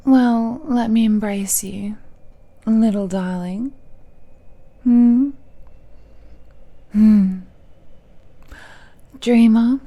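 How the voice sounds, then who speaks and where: A woman's voice: mid-range voice, dark tone. A woman voicing an ancient, possessive villainess in an intimate audio roleplay, teasing and condescending with smug, doting airs. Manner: languid, condescending, teasing, with sighs and hums.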